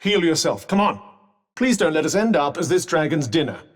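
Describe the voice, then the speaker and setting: A sardonic talking magic cuff from a video game, with a British accent and a fairly high-pitched voice. It gives urgent combat advice in eloquent, dryly witty phrasing. The voice sits a little away from the mic. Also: male voice, very animated, brisk, distant mic. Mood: urgent, instructing. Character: talking magic cuff, dry witty male battle companion. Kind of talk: video game companion voice lines.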